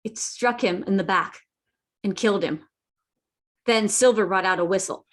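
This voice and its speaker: A woman's voice: mid-range voice, moderate pace, close to an everyday voice. A woman playing an earnest, plucky young boy who narrates a sea adventure in a radio play. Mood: tense. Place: indoors, remote online reading.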